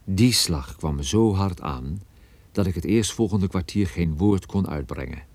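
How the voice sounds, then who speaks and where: A man playing a sardonic detective who narrates his own story in a radio play, deadpan and measured. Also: sits low, no room sound, full character voice. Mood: dry, sardonic. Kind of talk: scripted first-person narration in a radio play.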